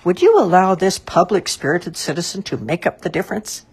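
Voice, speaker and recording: A woman voicing a pompous, scheming townsman in a children's story, shrill and indignant. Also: expressive, a little fast, a little mellow, husky, heavily acted. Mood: ingratiating.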